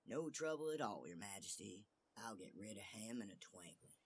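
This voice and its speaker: A man voicing a brash, boastful little tailor in a storybook tale, loud and emphatic with drawn-out words. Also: fairly low pitch, expressive, slightly rough. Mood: cocky, eager.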